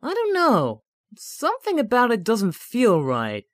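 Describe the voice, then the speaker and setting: A man's voice: very high voice, wildly swooping pitch, unhurried, fairly bright tone, exaggerated voice. A man voicing a cheerful, naive, dim-witted hero in an anime parody, guileless and easygoing. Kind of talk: exaggerated anime parody dub acting.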